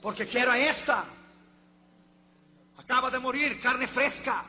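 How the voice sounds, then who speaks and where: Greedy, stubborn male grave robber, played by a preacher, pitched high and tense. Dull lo-fi recording. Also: unhurried, sharp tone, in a large hall, full character voice. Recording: dull lo-fi recording with faint hum.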